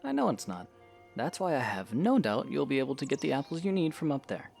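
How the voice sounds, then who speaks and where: A man playing a patient young swordsman hero in an audio drama, coaching in a gravelly, encouraging way. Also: mid-range voice, very animated, fairly quick, a little mellow, faint music behind. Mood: easygoing, reassuring. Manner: patient, instructive, reassuring. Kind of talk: scripted audio drama acting.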